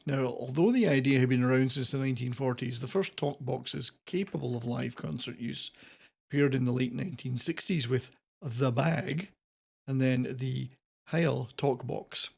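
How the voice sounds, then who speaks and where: A man's voice: medium pitch, moderate pace, creaky, a little mellow, husky. A man hosting a semi-scripted countdown commentary on classic rock, with wordy, playfully florid presenter phrasing. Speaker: host of a classic rock video channel.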